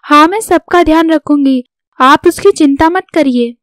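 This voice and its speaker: A woman voicing a gentle, supportive young wife in a cartoon story, polite and reassuring. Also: sits high, measured pace, no echo, clean studio sound. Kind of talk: scripted Hindi cartoon story dialogue.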